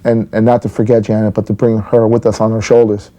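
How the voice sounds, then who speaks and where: Mellow-voiced plainspoken middle-aged man. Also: lowish voice, flat delivery, fast, dull audio. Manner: plainspoken, repeats phrases for emphasis. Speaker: father being interviewed at home. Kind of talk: casual, unscripted interview talk.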